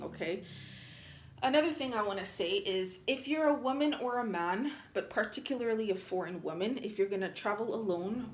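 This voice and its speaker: Earnest Armenian-born woman in an echoey room. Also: mid-range voice. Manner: earnest, keeps checking in with "okay?". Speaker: travel vlogger. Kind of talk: casual direct-to-camera monologue. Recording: roomy echo.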